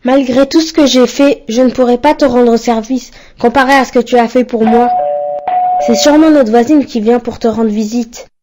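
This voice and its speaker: A woman voicing a devoted, courteous young son in an educational cartoon, speaking gently and rather formally. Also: fairly high pitch, steady tone, moderate pace, very noisy, natural voice. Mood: devoted, gentle. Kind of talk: didactic cartoon dialogue.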